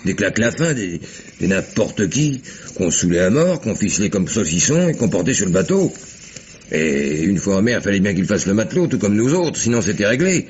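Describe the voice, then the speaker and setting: An actor playing an older, gruff sailor in a French radio mystery drama, with a husky voice and an earthy, plain-spoken manner. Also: male voice, fairly low pitch, very animated, a little fast, darkish tone, a little hiss or hum. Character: gruff, coaxing old sailor listening to his mate.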